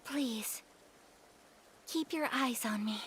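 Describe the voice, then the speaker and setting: A young woman playing a gentle, sincere noblewoman in an anime fantasy game, speaking softly and in a measured, heartfelt way. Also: fairly high pitch, slight background noise. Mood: shy, gentle. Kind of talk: scripted video game character dialogue.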